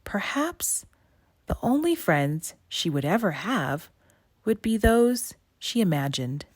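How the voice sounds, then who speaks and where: A woman reading a children's picture book aloud in an expressive narrating style. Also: medium pitch, takes it slowly, no room sound. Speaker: storybook reader.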